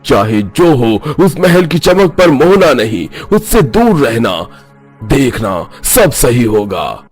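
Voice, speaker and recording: A man's voice: mid-range voice, big pitch swings, slow pace. A man voicing a grave, instructive village holy man in a cartoon horror story. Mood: solemn reassurance. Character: solemn village holy man who battles spirits. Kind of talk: Hindi animated story dub. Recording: music under the voice.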